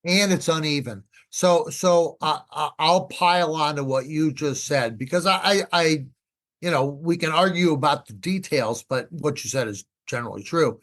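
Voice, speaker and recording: A man's voice: fairly high pitch, normal speed, a bit bright. A man talking conversationally as an expert podcast guest, emphatic, repeating phrases and posing rhetorical questions. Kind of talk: conversational explaining.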